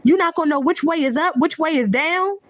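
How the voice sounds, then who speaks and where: Woman venting hard on camera, high pitched, cussing, super dry sound. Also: brisk, fairly bright tone, dry recording. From United States. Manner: heated, blunt and profane, with frequent restarts and repetition. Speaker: vlogger. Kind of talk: unscripted rant to camera.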